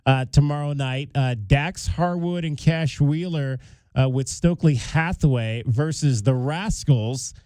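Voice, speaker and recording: A man's voice: on the high side, unhurried, dark tone, smooth, no echo. A man hosting a sports talk radio show, interviewing a guest in a friendly way with many restarts. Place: radio studio. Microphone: studio mic.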